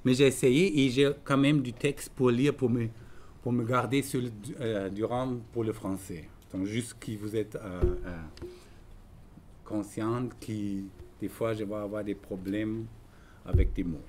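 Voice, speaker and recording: A middle-aged man giving an artist's talk in a language that is not his first, halting and searching for words with many fillers. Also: fairly low pitch, fairly even intonation, darkish tone, slight background noise. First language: not French. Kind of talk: lecture talk.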